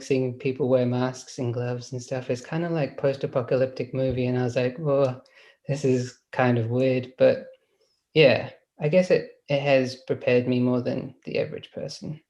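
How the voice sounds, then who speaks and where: Gravelly young New Zealand man. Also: mid-range voice, a little mellow, highs cut off. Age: 20s. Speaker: guest.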